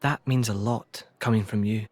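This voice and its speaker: A man's voice: fairly low pitch, steady tone, moderate pace, a little mellow, a little hiss or hum, natural voice. A man playing a courteous, composed monarch in a video game, with formal, polite phrasing.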